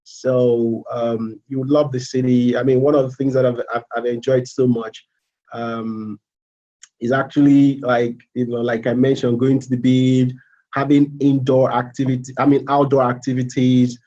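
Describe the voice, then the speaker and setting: A man in his thirties from Nigeria with a Nigerian accent, a student giving a casual live presentation, halting with fillers and repeats. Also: mid-range voice, little pitch movement, mellow, muffled recording. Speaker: MBA student.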